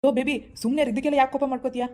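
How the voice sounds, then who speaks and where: A performer doing a meek, placating husband. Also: male voice, very high voice, level tone, very fast, a little mellow, pitched below the performer's own voice. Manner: placating, pleading. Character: meek son and husband caught in the middle. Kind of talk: comic family skit.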